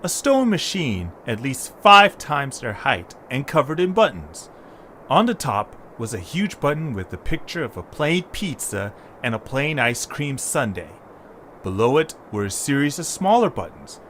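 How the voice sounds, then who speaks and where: Male narrator, medium pitch, expressive range, scripted storytelling, faint background noise. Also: measured pace.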